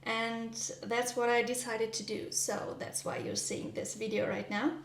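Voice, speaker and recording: A German woman with a German accent, vlogging in a gentle, hesitant way with frequent ums while baking in her home kitchen. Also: on the high side, flat delivery, measured pace, reverberant. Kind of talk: soft-spoken vlog narration.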